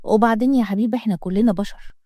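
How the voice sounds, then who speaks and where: Woman as a defiant sharp-tongued nanny. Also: medium pitch, rapid-fire, dry recording, clean studio sound, natural voice. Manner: defiant, mocking.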